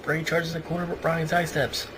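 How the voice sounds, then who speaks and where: A man's voice: a little high, flat delivery, moderate pace, a bit bright. A man hosting a casual podcast, reading a match report aloud and dropping in wry asides. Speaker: podcast host. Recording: noisy amateur podcast recording.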